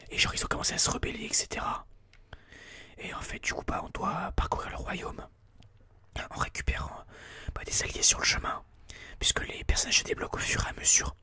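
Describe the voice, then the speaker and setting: A person whispering informal, explanatory ASMR gameplay commentary in a breathy, unvoiced voice, a little hesitant, close on a binaural mic. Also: brisk, pauses often, sharp tone. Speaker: ASMR gaming video host. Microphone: close binaural microphone.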